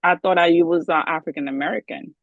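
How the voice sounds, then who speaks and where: A woman's voice: lowish voice, fairly even intonation, fast talker, no echo. A woman, hosting an interview in a friendly, easygoing way with many fillers. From the Bronx, New York. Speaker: interview host. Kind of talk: casual interview chat. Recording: dull dry lo-fi sound.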